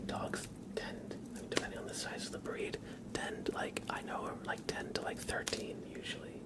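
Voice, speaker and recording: A man hosting a casual ASMR livestream, chatting in a breathy, gentle, unhurried whisper and softly repeating phrases. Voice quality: breathy whisper with creaky edges. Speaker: live streamer, ASMR host.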